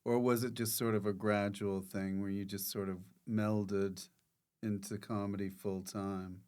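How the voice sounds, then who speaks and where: A man's voice: on the low side, flat delivery, unhurried, darkish tone, smooth. A man hosting a casual interview, asking questions and tossing in jokey, brief interjections. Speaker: podcast host.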